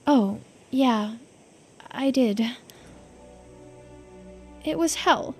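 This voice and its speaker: A woman's voice: high voice, extremely slow, soft background music, heavily acted. A woman voicing a girlish, earnest and resigned immortal character in a visual novel. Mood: distressed recollection.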